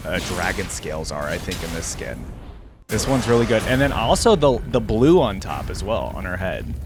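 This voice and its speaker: A man giving casual, off-the-cuff reaction commentary as a video host, thinking aloud as he goes. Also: medium pitch. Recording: music playing behind the voice.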